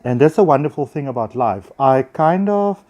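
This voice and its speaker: Male, South African accent, mid-pitched, effusive and chatty; informal phone vlog monologue addressed to viewers. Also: expressive, normal speed, dull audio. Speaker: spiritual healer and teacher. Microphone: handheld phone.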